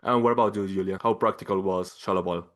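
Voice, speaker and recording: A male interviewer from Colombia asks questions in English. His voice sits a little low, and he phrases things eagerly and effusively. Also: steady tone, quick pace. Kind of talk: press junket interview.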